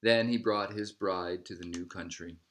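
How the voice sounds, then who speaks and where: A man reading a picture book aloud as a narrator, in measured phrases that settle at the ends. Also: low-pitched, fairly even intonation, a bit bright. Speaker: storybook reader.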